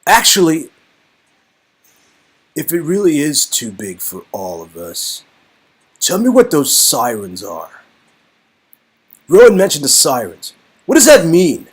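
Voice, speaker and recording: A man's voice: a little high, wildly swooping pitch, slow pace, pauses often, breathy edge, voice pushed up, heavily acted. A man playing an earnest, talkative hero in a game read-along, pleading and flippantly insistent. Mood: pleading, urgent.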